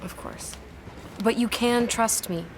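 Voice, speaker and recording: A woman's voice: fairly high pitch, slow, background noise. An actress playing an apologetic, secretive young woman with a hesitant, earnest way of speaking in an audio drama.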